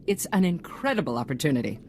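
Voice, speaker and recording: Casting: poised female galactic leader in a sci-fi game, low voice, polished statesmanlike delivery. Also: very animated, fast, darkish tone, a little hiss or hum. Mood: confident. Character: poised, self-assured female head of a galactic government. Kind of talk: video game cutscene voice acting.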